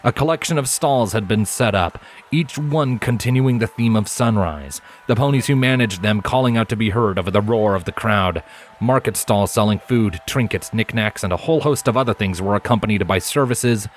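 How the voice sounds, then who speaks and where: A man's voice: lowish voice, moderate pace, slight background noise. A man reading a story aloud as scripted narration. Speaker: fan fiction narrator.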